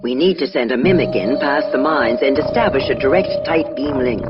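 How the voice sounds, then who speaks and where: An actress playing a calm starship officer who gives tactical advice in a sci-fi video game. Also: female voice, very deep voice, a little fast, dull audio, over loud music. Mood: calm, serious.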